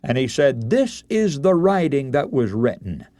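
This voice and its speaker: A man delivers a radio sermon as a solemn storyteller. His pitch moves widely as he leans on key words with deliberate, dramatic emphasis. The sound is dry and close, with very little room. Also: big pitch swings, warm tone, no echo. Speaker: preacher.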